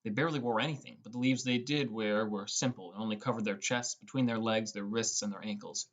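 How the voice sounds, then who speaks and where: A man's voice: lowish voice, steady tone, fast. A young man reading scripted first-person narration for a fantasy adventure audiobook.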